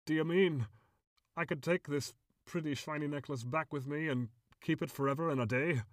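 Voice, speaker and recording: A man voicing a tiny old leprechaun for a storybook tale, with a gruff voice and folksy, old-fashioned phrasing. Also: mid-range voice, moderate pace, no room sound, studio quality, voice pushed up, close to an everyday voice. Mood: awestruck. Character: tiny old male leprechaun, scrappy and pleading.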